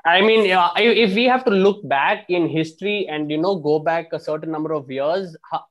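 A man's voice: on the high side, normal speed, lo-fi sound. A man, a football fan, arguing his side in a casual podcast chat, restarting sentences often and swearing casually. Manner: animated, frequent restarts and fillers, swears casually.

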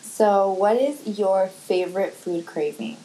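A woman co-hosting a podcast in casual chat, animated and acting out quotes. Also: takes it slowly, rough recording. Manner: animated, quotes herself in squeaky voices. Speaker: podcast co-host.

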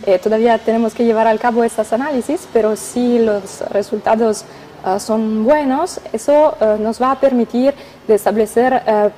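A young woman with an Italian accent, a physician speaking as a radio interview guest, careful and halting, with many filler sounds. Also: a little high, steady tone, dark tone, a little hiss or hum. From Italy.

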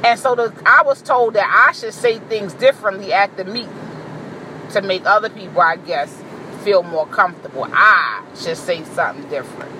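Blunt, deep-voiced woman venting. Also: normal speed, pushed and bright, a little hiss or hum, low-quality recording. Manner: blunt, profane, assertive; boisterous. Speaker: vlogger. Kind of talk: candid monologue to camera.

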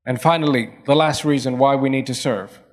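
A man's voice: mid-range voice, a little fast. A male pastor preaching a sermon, pressing his points through repetition and rising emphasis.

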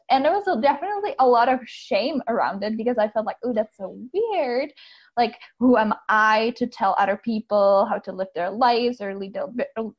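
A woman's voice: a little high, slightly rough. Bubbly woman from the Czech Republic. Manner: bubbly, laughs often, uses like a lot. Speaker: guest, coach. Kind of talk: casual interview chat. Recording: muffled lo-fi audio.

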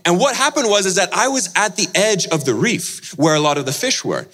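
A man delivering a sermon through personal storytelling. His voice sits a little high and moves widely in pitch. He leans into drama, rising with energy and sinking to near-hushed tones. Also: lively intonation, a little fast.

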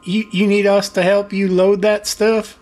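A man's voice: sits high, level tone, unhurried, a little mellow, pitched above the performer's own voice. A man voicing an easygoing country driver with a rural Southern US accent.

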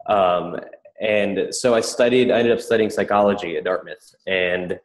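Deep-voiced man, echoey room. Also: fairly even intonation, normal speed. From Czech Republic. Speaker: interview guest, startup founder. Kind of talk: casual, reflective interview talk.

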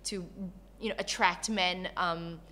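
A woman born in Malaysia who grew up in Canada, talking candidly and casually as an interview guest in an animated storytelling way. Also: lowish voice, measured pace. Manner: animated storyteller, acts out quoted dialogue.

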